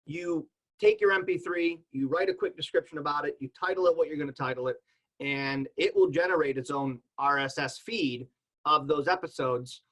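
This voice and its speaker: Man, higher-pitched, bright crisp tone, casual panel explainer, conversational, podcaster. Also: moderate pace, low-quality recording.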